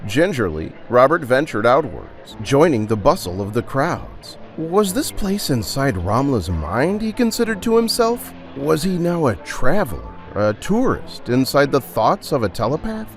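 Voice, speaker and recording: A man's voice: medium pitch, big pitch swings, a little slow, few pauses, faint music behind. A man narrating a scripted audio drama with theatrical, suspenseful storytelling.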